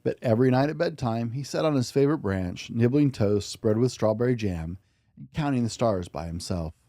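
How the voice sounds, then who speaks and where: Male storybook reader, dark soft tone, gentle expressive bedtime delivery, dry sound. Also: medium pitch, moderate pace, no echo. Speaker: podcast host and storybook reader. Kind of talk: expressive read-aloud of a children's picture book.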